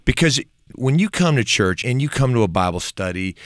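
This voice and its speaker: A man whose first language is Turkish, a ministry leader speaking earnestly and with self-deprecating humor in a relaxed conversation about faith. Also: mid-range voice, fairly quick, no echo, clean studio sound. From Turkey. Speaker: ministry leader, podcast guest.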